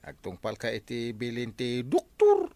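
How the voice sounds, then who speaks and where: A man with an Ilocano accent and a gravelly voice, playing a village captain in a comedy drama, admonishing people with drawn-out, hesitant interjections. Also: mid-range voice, wildly swooping pitch, dark tone, no echo. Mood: coaxing. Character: village captain, mediating and admonishing comic official.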